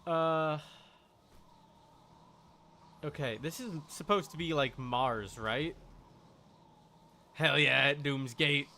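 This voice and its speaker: A man's voice: a little high, slow, gravelly, pauses often, sharp tone, a little hiss or hum. A man giving casual, wry live commentary as he plays a video game, often muttering to himself. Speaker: game streamer.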